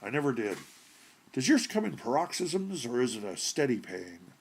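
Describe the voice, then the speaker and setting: Male narrator as irritable middle-aged man, curt, grumbling, gravelly, husky, storybook character. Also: medium pitch, very animated, normal speed, pitched above the performer's own voice. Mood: curious. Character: irritable, solid middle-aged bearded citizen with rheumatism. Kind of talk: character voice in short story reading.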